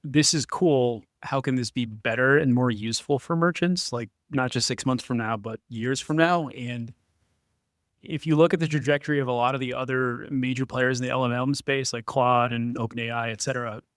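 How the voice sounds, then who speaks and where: Medium-pitched man in a dry recording. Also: a bit bright, dry recording. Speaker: expert panelist. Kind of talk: conversational panel discussion.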